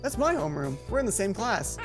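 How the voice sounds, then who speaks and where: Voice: mid-range voice, sing-song, quick pace, over music, close to an everyday voice. A let's play reader voicing a friendly, easygoing teenage schoolboy with an affable, casual manner.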